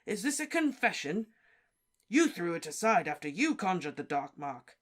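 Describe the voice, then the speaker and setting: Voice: medium pitch, big pitch swings, normal speed, fairly bright tone, pitched above the performer's own voice. A reader voicing a stern, officious male wizard official who barks his questions. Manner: sternly barking, interrogating.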